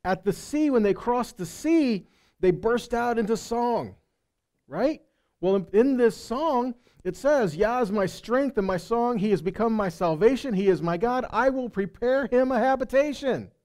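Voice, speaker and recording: Male Bible teacher, middle-aged. Soft-edged, warm tone, conversational yet emphatic sermon delivery on a headset mic. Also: extremely high pitch, expressive, unhurried. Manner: conversational, checking in with listeners. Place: indoor stage in a meeting room or hall. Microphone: headset microphone.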